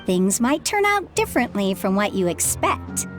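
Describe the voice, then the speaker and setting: Woman playing a composed, expressive advisor. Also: mid-range voice, moderate pace, faint music behind, natural voice. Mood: calm, hopeful. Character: calm, encouraging, insightful woman. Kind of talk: English game dub acting.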